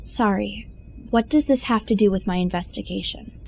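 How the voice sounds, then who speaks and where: An actress playing a brisk female security chief and former marine who answers in crisp, dutiful replies. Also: mid-range voice, a little mellow, slight background noise, close to an everyday voice.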